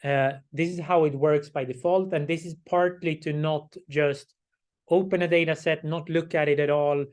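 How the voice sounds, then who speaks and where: A middle-aged man, hoarse and losing his voice, giving a class lecture and explaining each step slowly, often checking with "right?". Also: on the high side, steady tone. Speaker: instructor.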